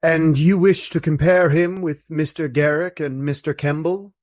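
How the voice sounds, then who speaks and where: Man acting gentle, grave middle-aged friar, formerly a baron. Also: on the high side, steady tone, lightly acted. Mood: wistful, earnest. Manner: measured, formal, courteous. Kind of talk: radio play acting.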